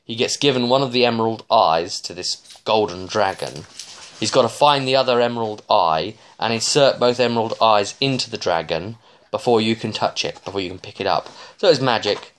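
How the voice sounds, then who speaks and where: A man in his thirties giving a casual, rambling recap as a game master, with halting, digressive phrasing and frequent restarts. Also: lowish voice, fairly bright tone. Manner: halting, digressive, often restarting mid-sentence. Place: small living room, indoors.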